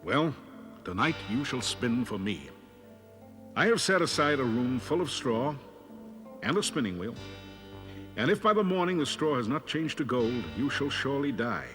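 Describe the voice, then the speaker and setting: A man's voice: mid-range voice, very animated, moderate pace, pauses often, a bit bright, soft background music, voice pushed up. A man voicing a stern storybook king who commands and threatens. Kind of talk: storybook character voice.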